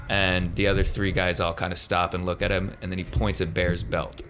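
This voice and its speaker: A man's voice: low voice, flat delivery, normal speed, pushed and bright, soft background music. A man casually narrating an improvised tabletop roleplaying game as the game master, in a conversational storytelling manner.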